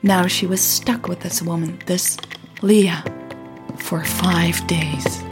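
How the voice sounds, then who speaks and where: A woman narrating scripted audio fiction as a podcast storyteller, in a measured, dramatic way. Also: fairly low pitch, fairly even intonation, over music.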